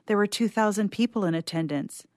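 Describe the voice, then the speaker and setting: A woman playing an uneasy doctor who recounts events in the first person, reading a suspense story in a measured, solemn way. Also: mid-range voice, a little mellow, no room sound, clean studio sound, close to an everyday voice. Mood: grave, uneasy. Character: uneasy, secretly sinister doctor testifying as sole survivor.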